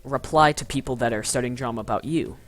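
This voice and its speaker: A man's voice: medium pitch, fast talker, a bit bright, faint music behind. Stuttering young male streamer. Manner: stuttering, rambling, with frequent restarts; blunt, roasting commenters. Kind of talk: casual live-stream chat.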